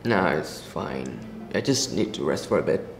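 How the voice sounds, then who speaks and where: A man's voice: sits low, animated, normal speed, gravelly. A young man playing a reluctant injured worker in an amateur scripted drama. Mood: reluctant, pained. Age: teenager or young adult. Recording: roomy echo, faint background noise.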